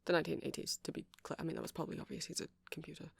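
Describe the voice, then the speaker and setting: A man's voice: on the high side, steady tone, racing, vocal fry, husky, no room sound, full character voice. A man playing a shy, earnest, nerdy vampire in an ASMR roleplay, speaking in a hesitant, rambling, stammering way. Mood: shy, nervous. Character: shy, earnest, nerdy male vampire running a music shop.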